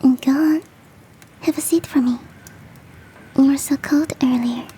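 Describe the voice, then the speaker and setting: A woman voicing a big fluffy motherly yeti in an ASMR roleplay, cooing gently and teasingly in a soft, breathy whisper. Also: high-pitched, fairly even intonation. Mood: cheerful, doting. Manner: cooing, nurturing, slow and teasing.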